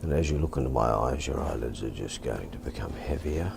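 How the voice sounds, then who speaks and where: An Australian man with a deep voice, calmly and deliberately teaching and demonstrating hypnosis in a soft-spoken, repetitive way. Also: gravelly, background noise. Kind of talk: hypnosis instruction and induction, softly spoken.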